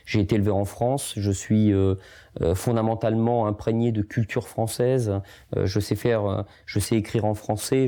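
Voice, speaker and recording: A man's voice: fairly low pitch, mellow. A middle-aged man born in Portugal, speaking reflectively in an interview with many hesitations and restarts. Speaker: documentary interviewee.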